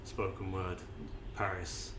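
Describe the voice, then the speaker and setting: A man with a lowish voice hosts a relaxed podcast chat, swearing casually and often restarting his questions. He is recorded in an indoor venue where his voice rings around the room. A faint hum of noise sits underneath. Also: takes it slowly. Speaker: podcast host. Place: indoor venue with other poets near the mic. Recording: roomy echo, faint background noise.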